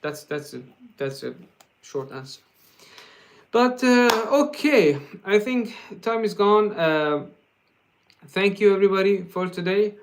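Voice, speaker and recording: A man from Iran with a Persian accent, hosting a guitar livestream and answering viewer questions in a casual, halting way, full of fillers and restarts. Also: high-pitched, animated. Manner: laughs often, joking.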